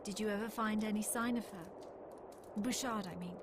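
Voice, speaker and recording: An actress playing a grave war narrator in a video game, solemn and measured, with music under her voice. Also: mid-range voice, steady tone, background noise, lightly acted. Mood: gentle questioning. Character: grave woman recounting a war against aliens.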